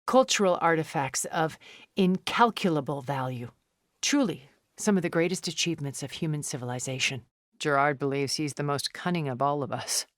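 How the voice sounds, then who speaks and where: A woman playing a wealthy, cunning, ancient art connoisseur in a video game, speaking in a refined, measured, articulate way with a wistful temper. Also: sits low, no room sound, studio quality, natural voice. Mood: composed, businesslike. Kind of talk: video game character dialogue.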